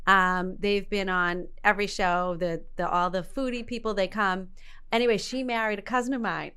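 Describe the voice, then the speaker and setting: A woman's voice: medium pitch, steady tone, moderate pace, sharp tone, clean tone. A woman co-hosting a show in casual, conversational talk. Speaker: show co-host. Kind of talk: casual chat.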